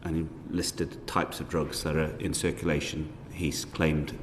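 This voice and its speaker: A man on a committee, questioning witnesses in a measured, polite way with careful hedges. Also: rumbling low, little pitch movement. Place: parliamentary committee room. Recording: reverberant hall with faint noise.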